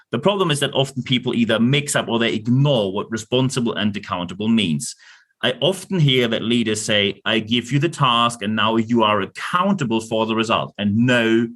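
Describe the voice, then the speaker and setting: A man with a German accent giving a lecture-style talk on leadership, emphatic and animated, with jokey asides and repeated words. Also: fairly low pitch. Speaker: leadership consultant host.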